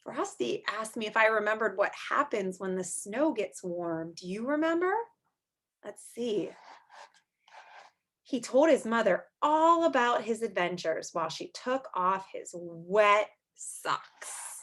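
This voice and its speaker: A woman's voice: medium pitch, expressive, slow pace, fairly bright tone. A woman reading aloud to young children like a teacher, drawing out the story words expressively and adding chatty asides and questions.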